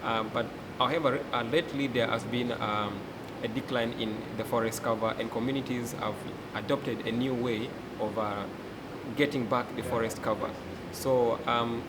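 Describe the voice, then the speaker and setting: A young man from Uganda with a Ugandan accent, speaking earnestly as a forest educator and delegate, often pausing with "uh". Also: medium pitch. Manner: earnest, many "uh" pauses. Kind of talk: interview testimony. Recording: loud background hum.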